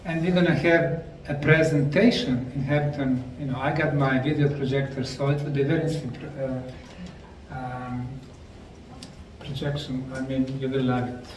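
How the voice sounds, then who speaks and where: A man with a Bosnian accent giving a deliberate, emphatic lecture to a live audience. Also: mid-range voice, steady tone. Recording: echoey room, mic far off, faint noise.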